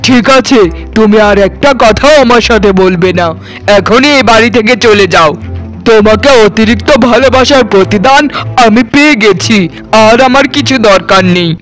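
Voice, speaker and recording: A man's voice: high voice, normal speed, fairly bright tone, soft background music. A man voicing a proud, doting but harsh landlord father in a theatrical, declaiming cartoon style. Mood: stern, angry. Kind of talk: dramatic cartoon voice acting.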